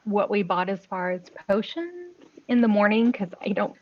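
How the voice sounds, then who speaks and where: A woman's voice: medium pitch, big pitch swings, normal speed. A woman playing a tabletop game, chatting casually with the group over an online call. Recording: slightly dull online call audio.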